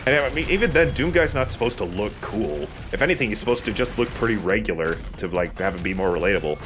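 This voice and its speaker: Low-voiced man giving casual game commentary. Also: expressive, fast, over music. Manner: opinionated, informal. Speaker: let's play commentator. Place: voice over game audio.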